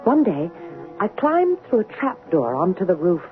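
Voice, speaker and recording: Candid young governess, tinny radio sound. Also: female voice, fairly low pitch, huge pitch swings, faint music behind. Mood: reflective. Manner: measured, polite, forthright. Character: composed, candid eighteen-year-old orphan governess. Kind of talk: 1970s radio drama acting and narration. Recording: tinny band-limited old radio recording.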